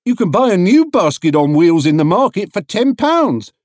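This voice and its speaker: A chatty, opinionated male stallholder from a storybook, acted out by a man. He is folksy and emphatic, his voice lifted high and swooping as he hands out advice. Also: big pitch swings, normal speed, a little mellow, dry recording, clean studio sound. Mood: helpful, haggling.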